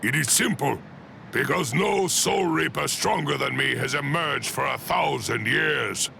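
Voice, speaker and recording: An actor playing an ancient, stern and proud warrior commander in an anime video game, with a gravelly voice and a grave, imperious delivery. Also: male voice, a little high, a little slow, noisy background. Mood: scornful, confident. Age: elderly. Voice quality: gravelly, creaky.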